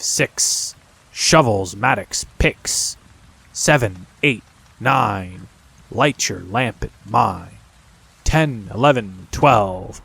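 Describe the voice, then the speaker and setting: A man voicing a cheerful young miner boy who chants a rhyme in a slow sing-song. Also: on the low side, vocal fry, husky, heavily acted.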